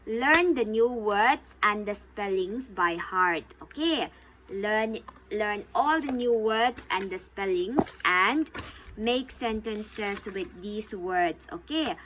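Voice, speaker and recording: A woman's voice: medium pitch, animated, fairly bright tone, slight background noise, rough recording. A woman teaching vocabulary to young pupils, repeating each definition and checking understanding with "okay?". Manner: slow and deliberate, repeats each definition, ends phrases with "okay?". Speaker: school teacher.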